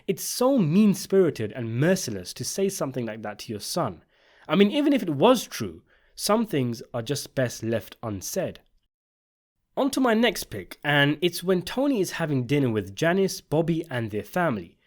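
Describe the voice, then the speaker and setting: A man's voice: on the high side, sing-song, normal speed. A man delivering scripted video essay commentary on a TV series, in an emphatic, opinionated way. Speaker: video essayist and channel host.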